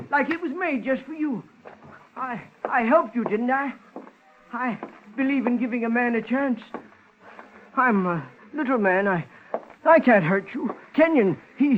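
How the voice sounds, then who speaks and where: An actor in a 1950s radio drama playing a timid, desperate little man who stammers and pleads. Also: very high voice. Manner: stammering, pleading, repeating himself. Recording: tinny, hissy 1950s radio recording.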